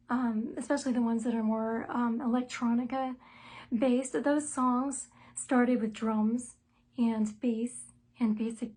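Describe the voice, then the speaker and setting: A middle-aged woman musician with a light voice talks about her music in a thoughtful, measured way, pausing often on "um" and "uh". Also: fairly high pitch, a little mellow. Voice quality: light, soprano-range voice. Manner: thoughtful and measured, with frequent "um" and "uh" pauses. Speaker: musician and songwriter interviewed about her album. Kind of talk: self-told interview answers, conversational.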